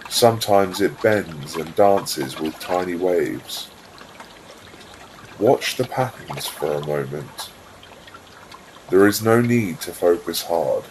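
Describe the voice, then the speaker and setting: A man narrating a scripted guided visualisation in a calm, soothing, unhurried way, leaving pauses between phrases. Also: deep voice, noisy background.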